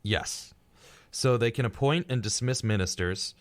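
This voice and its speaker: A man's voice: medium pitch, measured pace, no echo. A man co-hosting a casual podcast discussion, reading out facts in a dry, dismissive way. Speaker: podcast co-host.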